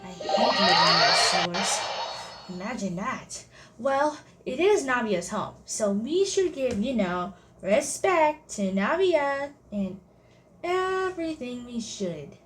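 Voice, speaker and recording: A woman's voice: fairly high pitch, very animated, slow. A woman giving casual commentary as she records her video game playthrough. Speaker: gameplay video creator. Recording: game music behind the voice. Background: game music.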